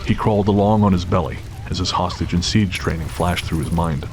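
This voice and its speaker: A man's voice: low voice, fairly quick, music in the background, natural voice. A man reading as the grave storyteller of a suspense audio drama, in scripted dramatic narration. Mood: grave, suspenseful.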